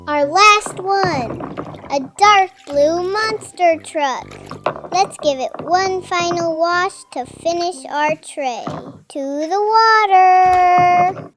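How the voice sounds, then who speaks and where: A woman's voice: extremely high pitch, extremely slow, very noisy. A woman narrating a scripted educational video for young children, in a simple, playful way with questions and exclamations. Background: children's background music.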